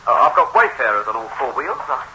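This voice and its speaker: A man's voice: medium pitch, very animated, fast talker, pushed and bright. An actor playing a nervous, stammering husband hiding his guilt in a 1960s radio suspense drama. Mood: nervously affable. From South Africa. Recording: dull, hissy old radio recording.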